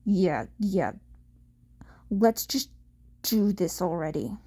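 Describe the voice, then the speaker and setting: A woman plays a hot-headed teenage boy in an audiobook story. He is surly and defiant, grumbling back in short snaps, with a soft-edged tone. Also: medium pitch, animated, slow pace, a little hiss or hum, pitched below the performer's own voice. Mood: sullen. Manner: grumbling, snappy.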